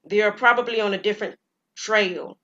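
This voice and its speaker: A woman's voice: mid-range voice, steady tone, pushed and bright, lo-fi sound, close to an everyday voice. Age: young adult. Figure: a woman doing an eager college guy leading the hike. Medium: audio drama. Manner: brisk, upbeat, directive. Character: eager young college man, brave front, group leader.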